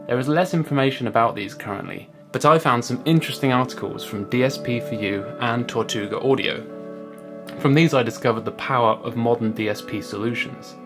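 A man's voice: mid-range voice, a little fast, music in the background. A man in his thirties giving a scripted explainer to camera as a student presenting his project. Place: small indoor room at home.

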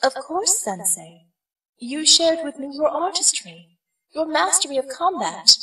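Woman playing earnest young mystic heroine, high voice, slow solemn delivery, audio drama, a bit distant. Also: very animated, pushed and bright, exaggerated voice. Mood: earnest, respectful. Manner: solemn, deliberate, pleading.

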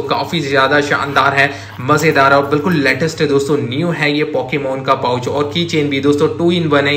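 Excitable man presenting, echoey room. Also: fairly high pitch. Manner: excitable, gushing, addresses viewers as friends. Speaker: host of a toy showcase channel. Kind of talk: enthusiastic product showcase to camera. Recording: roomy echo, audible background noise.

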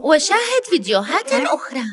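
A woman's voice: sits high, huge pitch swings, fast. A woman narrating a children's educational cartoon in an exclamatory way, speaking directly to a character.

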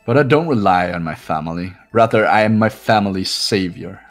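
A man acts out a haughty young male heir from a video game. His voice is low, and he talks down to everyone with measured, pompous superiority. Music plays clearly behind him. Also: animated. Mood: pompous, self-satisfied. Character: arrogant, condescending wealthy young male heir. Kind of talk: visual novel game voice-over.

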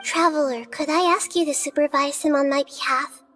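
A woman voicing a small, wise child goddess in a video game, soft, girlish, measured and polite. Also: high-pitched, steady tone, soft background music. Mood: gentle, solemn.

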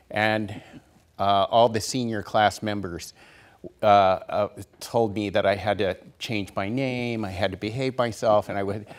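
A man in his fifties with a lowish voice speaks on a theater stage panel. He rambles through his anecdotes, full of "uh" and "you know", holding a handheld mic. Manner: rambling, many fillers and restarts. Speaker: production designer on a panel. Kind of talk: casual storytelling on a panel. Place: theater stage before an audience.

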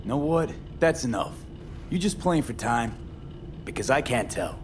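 A man's voice: medium pitch, animated, a little slow, close to an everyday voice. An actor playing a blunt, weary, hard-bitten male mercenary in a video game. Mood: impatient. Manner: blunt, terse, profane. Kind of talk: video game dialogue acting. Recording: game ambience and traffic noise behind.

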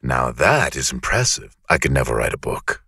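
Suave surgeon, very deep and husky. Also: male voice, big pitch swings, normal speed, bright, forceful tone, no echo, clean studio sound, heavily acted. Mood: charming, curious. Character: suave, flirtatious, polite male surgeon, handsome and vain. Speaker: actor in a romantic comedy audio drama. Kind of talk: romantic comedy audio drama acting.

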